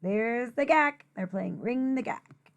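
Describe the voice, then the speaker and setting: High-pitched woman reading a children's book. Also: slow, gravelly. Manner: expressive sing-song rhyming delivery with playful asides. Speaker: teacher reading aloud. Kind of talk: children's picture book read-aloud.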